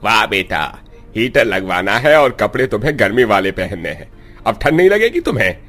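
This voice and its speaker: A man's voice: lowish voice, very animated, moderate pace, fairly bright tone. A man voicing a sarcastic, exasperated middle-aged father in a Hindi moral-story cartoon.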